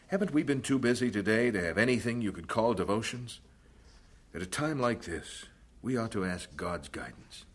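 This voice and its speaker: Husky, earnest missionary husband. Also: male voice, lowish voice, expressive. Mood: quiet, earnest. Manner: measured, earnest, reasoning. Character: calm, devout missionary husband, patient and firm. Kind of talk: radio drama acting.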